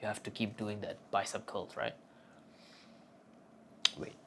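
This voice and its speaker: A young man talks straight to camera with cocky, crude humor, handing out blunt advice. His voice sits low and carries a gravelly fry. Faint noise hums underneath. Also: fairly even intonation, measured pace, a bit bright. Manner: cocky, profane, joking bravado. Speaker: self-improvement vlogger. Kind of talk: casual crude advice monologue.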